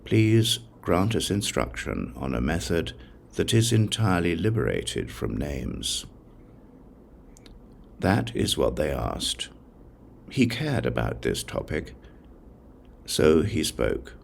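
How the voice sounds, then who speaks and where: Really deep voiced guy reading scripture audiobook style, slow n solemn, bit of background noise. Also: sing-song, creaky. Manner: solemn, measured recitation. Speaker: audiobook reader. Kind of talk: scripted narration of a religious text.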